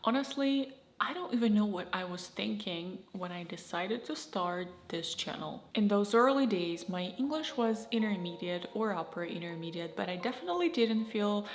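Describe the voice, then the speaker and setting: A woman with a Russian accent talks to camera in a candid, reflective vlog monologue. Her voice sits at an ordinary middle pitch. Her phrasing comes in slightly choppy pieces, and the room gives it a noticeable echo. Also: expressive, moderate pace, few pauses. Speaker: YouTuber. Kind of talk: scripted personal vlog monologue. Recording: roomy echo.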